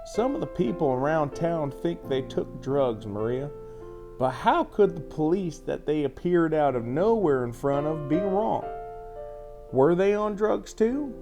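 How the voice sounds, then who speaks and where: A man's voice: on the high side, expressive, measured pace, voice pushed up. A man voicing a weary, blunt but loving sheriff father in an audiobook story, over a music bed. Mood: skeptical.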